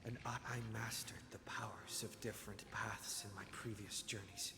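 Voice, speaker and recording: A man's voice: fairly low pitch, fairly even intonation, moderate pace, creaky, whispered. An actor playing an earnest young male adventurer in a video game, musing and a little hesitant. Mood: pensive. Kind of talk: scripted video game voice acting. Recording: long reverb under game music.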